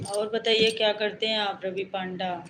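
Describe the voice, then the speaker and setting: A woman from Uttar Pradesh hosting a casual live stream. She greets viewers by name and asks them calmly to like and subscribe. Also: medium pitch, normal speed, a little hiss or hum. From Uttar Pradesh, India. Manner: calm, greets commenters by name and asks for likes.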